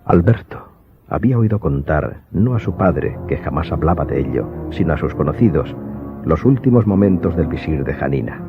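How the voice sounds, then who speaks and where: A man's voice: extremely low pitch, lively intonation, moderate pace. A man narrating a scripted dramatic audiobook. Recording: dull lo-fi audio under music.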